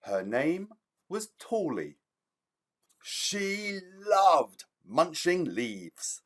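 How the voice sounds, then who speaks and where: A man's voice: on the high side, big pitch swings, extremely slow. A man, a children's author, speaking to young children in an enthusiastic, theatrical storytelling style with emphatic delivery.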